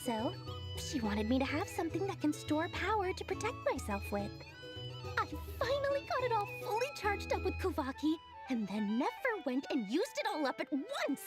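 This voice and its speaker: A woman's voice: extremely high pitch, sing-song, normal speed, music in the background. A woman voicing a small, bubbly, greedy girl merchant in a video game, shrewd and boastful. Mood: exasperated. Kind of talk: animated video game voice acting.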